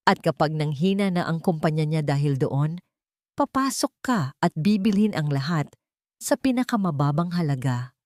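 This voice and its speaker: A woman's voice: low voice, animated, moderate pace, a little mellow, dry recording, studio quality. A woman voicing a scheming, bitter socialite in an audio story, arrogant and mixing Tagalog with English.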